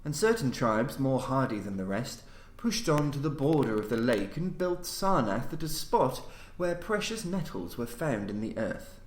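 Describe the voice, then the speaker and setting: A man's voice: mid-range voice, lively intonation, normal speed. Man narrating horror tales in echoey room. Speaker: podcast host and story reader. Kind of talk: scripted horror story narration. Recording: roomy, echoing voice recording.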